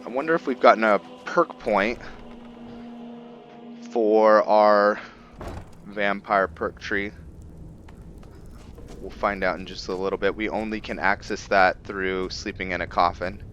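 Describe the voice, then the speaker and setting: Low-voiced man musing through a game. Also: fairly even intonation, a little slow, long pauses, fairly bright tone. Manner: musing, thinking aloud. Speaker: gamer narrating own roleplay playthrough. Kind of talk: casual gameplay commentary. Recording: slightly muffled, game music behind. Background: video game music.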